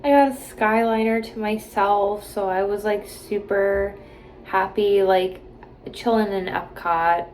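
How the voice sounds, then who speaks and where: A woman's voice: mid-range voice, steady tone, slow pace, a little mellow, slight background noise. A woman in her late twenties chatting casually to camera from a hotel room, rambling with lots of "like" and "um". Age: 20s. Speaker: vlogger.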